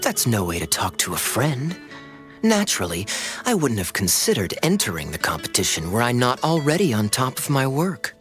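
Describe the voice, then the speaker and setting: An actor playing a suave, sly young knight in a video game, smooth, unhurried and playfully coy. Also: male voice, mid-range voice, very animated, a bit bright, soft background music. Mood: teasing, relaxed. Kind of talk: video game cutscene acting.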